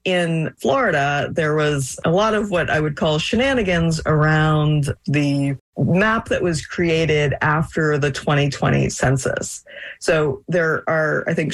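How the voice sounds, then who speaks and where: A woman speaking as an academic expert in an interview. She explains and gives her opinion in a conversational, analytical style. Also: low-pitched. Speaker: law professor, interviewee.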